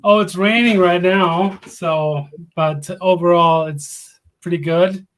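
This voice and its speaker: A man's voice: high voice, fairly even intonation, slow pace, a bit bright. A middle-aged man from Germany, a native German speaker, calling in to chat about his favorite team in friendly, careful English. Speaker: caller, fan from abroad. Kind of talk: casual sports chat.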